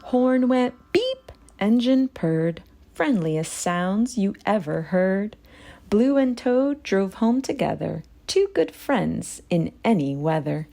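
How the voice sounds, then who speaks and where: A woman narrating a rhyming children's story in an expressive read-aloud style. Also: medium pitch, slow, vocal fry.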